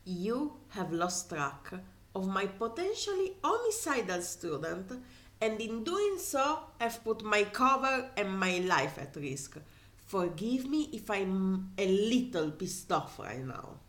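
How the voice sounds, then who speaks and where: A woman's voice: medium pitch, very animated, slow pace. A woman voicing an indignant, sarcastic male undercover mentor in a space adventure story, biting and exasperated. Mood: coldly angry.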